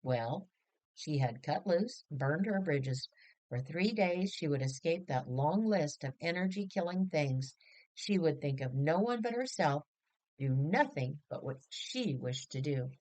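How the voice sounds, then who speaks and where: A woman narrates a storybook in a low register. Her delivery is expressive and highly animated, rising and falling freely as she reads the prose. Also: poor audio. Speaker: audiobook reader.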